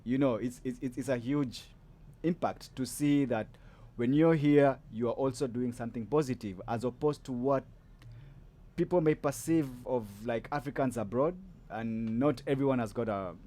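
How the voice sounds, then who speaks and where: A man's voice: medium pitch, normal speed, dark tone. A man hosting a podcast, chatting casually with a guest, loose and rambling with lots of fillers and restarts. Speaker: podcast host. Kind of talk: casual interview chat.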